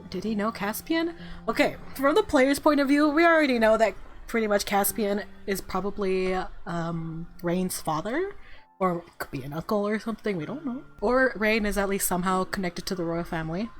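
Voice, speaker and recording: A woman giving casual, rambling, excitable commentary on a game as she plays. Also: mid-range voice. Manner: rambling, excitable, laughs often. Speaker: game streamer. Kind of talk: casual playthrough commentary and reading aloud. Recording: music playing behind voice. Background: visual novel game music.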